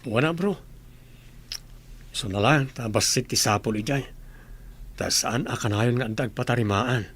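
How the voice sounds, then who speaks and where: A man's voice: medium pitch, measured pace, a bit bright, breathy edge. A man with an Ilocano accent playing a young working man soon to marry, in a radio comedy-drama. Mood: matter-of-fact.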